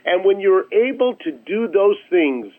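A man answering as an executive guest in a radio interview, halting at times and repeating phrases for emphasis. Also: high-pitched, expressive. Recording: narrow, tinny phone line.

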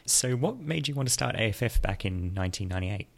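A man hosting a podcast, asking friendly, brisk interview questions. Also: lowish voice, expressive, vocal fry. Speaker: podcast host.

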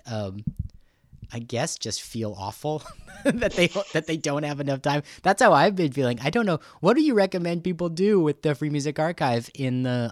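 A man hosts a casual podcast interview. His voice sits at an average pitch, and he talks in a loose, halting, chatty way. The sound is dry, with hardly any room around it. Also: darkish tone, dry recording.

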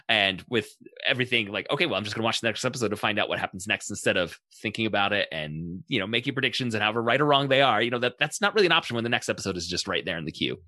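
Male podcast host, brisk and bright, conversational, dry sound. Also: lowish voice, no room sound. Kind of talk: casual podcast hosting.

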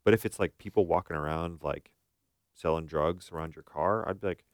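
A man's voice: low voice, brisk, dry recording, clean studio sound. A man co-hosting a talk show as a therapist, giving casual, explanatory advice and often asking questions to check for agreement.

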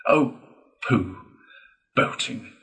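A man voicing a boastful, excitable gentleman toad in a storybook, declaiming in a pompous, gushing way. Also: mid-range voice, drawn-out words. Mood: disdainful.

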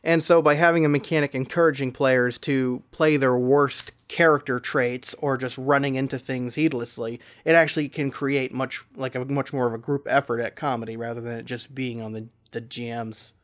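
A man's voice: medium pitch, fairly even intonation, normal speed, few pauses. A man speaking as an interview guest and game master, explaining in an articulate, conversational way.

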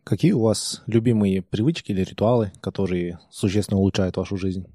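A man's voice: sits low, brisk, warm tone, no room sound, clean studio sound. A male podcast host asking interview questions and reading the show outro in a polite, formal manner.

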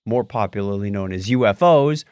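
A man's voice: on the low side, expressive, moderate pace, darkish tone, dry recording, studio quality. A man hosting a podcast, chatting casually through news items and explaining them in a wry, jokey way. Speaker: podcast host. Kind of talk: casual news chat with banter.

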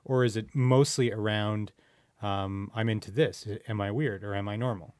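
A man hosting a podcast in a casual, informal and somewhat halting conversational style. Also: on the low side, steady tone, darkish tone, dry recording, studio quality. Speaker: podcast host. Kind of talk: casual interview chat.

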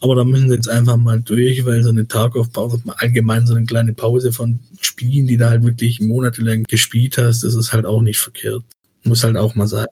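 A man's voice: medium pitch, completely flat delivery, brisk, mellow, husky, dry recording, poor audio. A man with a light Swabian accent hosting a podcast in a rambling, chatty way with many hesitations. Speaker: podcast host. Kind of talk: casual chat.

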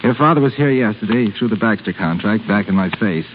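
A man's voice: lowish voice, lively intonation, fast, close to an everyday voice. An actor playing an earnest, proud, heartsick young man in a 1930s radio drama. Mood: regretful. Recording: noisy narrow-band 1930s radio broadcast.

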